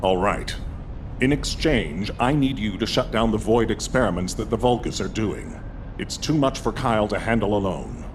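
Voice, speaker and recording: Low, stern actor as military commander. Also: male voice, lively intonation, normal speed, lightly acted. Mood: commanding. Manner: authoritative, dry. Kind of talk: video game voice acting. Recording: noisy rumble behind.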